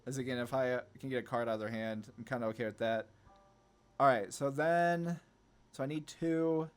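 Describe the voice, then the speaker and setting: A man's voice: mid-range voice, moderate pace. Man thinking aloud over a card game. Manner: thinking aloud, hesitant self-questioning. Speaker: gameplay video host. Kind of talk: casual card game play commentary. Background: background music.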